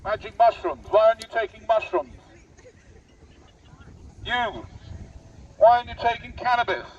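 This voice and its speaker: A British man giving a mock-serious, provocative public speech through a megaphone in a deadpan manner, addressing passers-by directly. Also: very high voice, normal speed. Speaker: street speaker with megaphone. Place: outdoor public park. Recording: dull megaphone outdoors, distant, faint noise.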